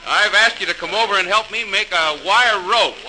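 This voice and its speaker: A man's voice: high voice, normal speed, sharp tone. An actor playing a determined, earnest male engineer in old-time radio drama style, with emphatic, stagey delivery on a noisy old recording. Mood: hearty, eager. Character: John Roebling, earnest, determined male engineer inventor rallying his neighbors. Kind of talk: 1940s radio drama acting.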